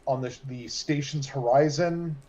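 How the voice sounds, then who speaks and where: A man's voice: medium pitch, a little slow, darkish tone. A man playing a tabletop game in casual, improvised table talk. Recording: dull lo-fi mic with background noise.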